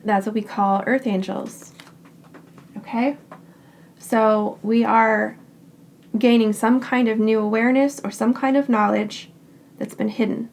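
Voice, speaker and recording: A woman giving a tarot reading in short, unhurried phrases. Her voice is raspy and hoarse because she is getting over a cold. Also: a little high. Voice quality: raspy, scratchy throat. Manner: calm and reassuring, speaks in short unhurried phrases. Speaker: tarot card reader. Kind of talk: pick-a-card tarot reading.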